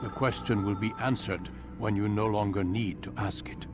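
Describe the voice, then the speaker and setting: A man voicing a commanding, evasive master of an assassin order in a video game, measured and lecturing. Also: fairly low pitch, fairly even intonation, close to an everyday voice. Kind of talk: video game cutscene dialogue. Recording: music behind the voice.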